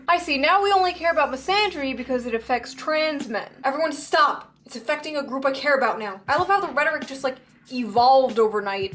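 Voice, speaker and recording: A woman delivering sarcastic, mocking online commentary in a brisk way. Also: sits high, a bit bright, a little hiss or hum. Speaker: video commentator.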